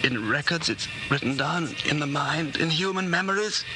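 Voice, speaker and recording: Guy acting scared tortured prisoner, really high strained voice, pleading, halting, noisy 1940s radio drama. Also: lively intonation, natural voice. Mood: strained, defiant. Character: tormented male prisoner, desperate, frightened, stubbornly honest.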